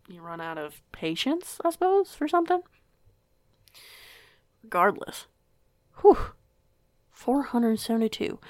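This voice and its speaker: A woman's voice: lowish voice, gravelly, no room sound. A woman playing a curious, stubborn teenage werewolf girl in an audio roleplay, with a chatty, rambling, self-correcting way of talking. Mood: curious, impressed. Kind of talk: audio roleplay acting, casual conversation.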